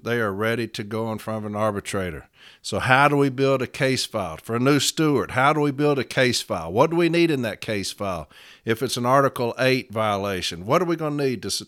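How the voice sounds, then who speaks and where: A middle-aged man, hosting a casual podcast, voice hoarse and worn out, talking bluntly and rambling with many fillers. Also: medium pitch, a bit bright, dry recording, clean studio sound. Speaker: podcast host.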